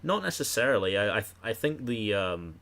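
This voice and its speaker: Lowish-voiced man on a film podcast. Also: sing-song, moderate pace, a bit bright. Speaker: podcast co-host. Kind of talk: casual film discussion.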